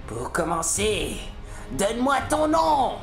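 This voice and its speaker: Une tortionnaire sadique, voix très craquante. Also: female voice, fairly high pitch, very animated, slow pace, roomy sound, music in the background, heavily acted. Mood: cold menace, then furious threats. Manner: mock-polite menace, taunting, gloating. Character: sadistic female military interrogator, gleefully cruel. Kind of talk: audio drama acting.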